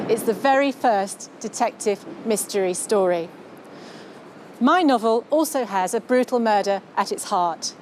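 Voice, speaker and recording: A woman with an English accent giving an articulate, measured, scripted talk as a novelist. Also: fairly high pitch, a little mellow, slight background noise. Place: outdoors on a London street.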